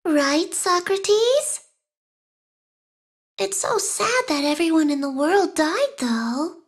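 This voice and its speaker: A woman's voice: very high voice, expressive, slow pace, heavily acted. A woman voicing a curious, bookish little girl in a fantasy game, musing wistfully in a childlike way. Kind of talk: storybook fantasy game voice acting.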